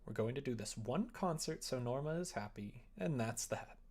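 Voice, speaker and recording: A man's voice: medium pitch, expressive, normal speed, a little mellow. A man voicing a reserved, bitter young woman in a visual novel, speaking in a guarded, blunt way. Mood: bitter, accusing. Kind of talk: visual novel read aloud.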